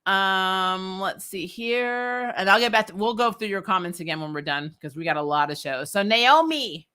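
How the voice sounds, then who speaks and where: A woman's voice: mid-range voice, normal speed, pushed and bright, clear, resonant tone. A woman hosting a recap show, giving casual, opinionated episode commentary in an informal, wry way. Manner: informal and opinionated, with mild swearing and wry asides.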